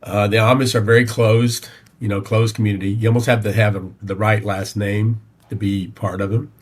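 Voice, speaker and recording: A middle-aged man from Tennessee with a Southern American accent, telling personal stories as a podcast guest in a halting, folksy way. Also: fairly low pitch, little pitch movement. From Tennessee, United States. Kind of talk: conversational personal storytelling.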